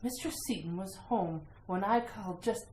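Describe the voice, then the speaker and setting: A woman's voice: mid-range voice, normal speed, darkish tone, lightly acted. A woman playing a flustered, exasperated sheriff in an old-time radio drama, blustering and exclaiming. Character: flustered, gruffly exasperated small-town sheriff. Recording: echoey room with faint noise.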